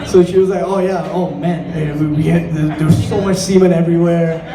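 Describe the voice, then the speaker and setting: A man's voice: fairly high pitch, fairly even intonation, fairly quick, loud music behind the voice. A man from Singapore performing a conversational stand-up comedy set, swearing freely and acting out bits of dialogue. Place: indoor comedy venue with audience. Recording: echoey, distant live room with crowd noise.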